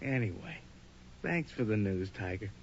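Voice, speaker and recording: A man's voice: lowish voice, expressive, normal speed, creaky, slight background noise. A young actor playing a cocky, teasing male college roommate in a radio drama. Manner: joking, teasing, brash. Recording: dull old radio broadcast.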